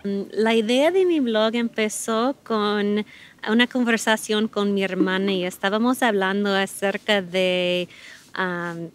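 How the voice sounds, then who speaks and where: A woman's voice: medium pitch, unhurried. A middle-aged American woman, a native English speaker, talking in an interview in careful learner Spanish with many fillers and restarts. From United States. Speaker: guest.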